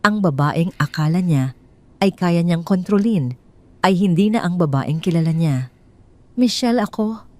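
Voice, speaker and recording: A Filipino woman narrates a scripted story. Her voice sits a little low for a woman, and she delivers the text as dramatic storytelling. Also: normal speed, mellow.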